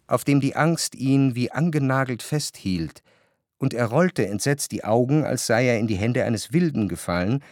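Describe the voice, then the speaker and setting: A man narrating a literary radio play as a cultured, wryly ironic observer, measured and articulate. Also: medium pitch, animated, no room sound, studio quality, natural voice.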